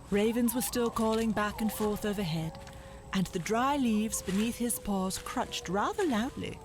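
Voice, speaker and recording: A woman reading scripted literary narration for a children's audio drama in a measured, dramatic, descriptive way. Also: mid-range voice, noisy background.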